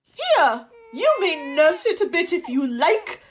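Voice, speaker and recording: A woman's voice: very high-pitched, sing-song, slow, a little hiss or hum, exaggerated voice. A woman playing a careless, ill-tempered storybook lady in a radio play. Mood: manic, careless.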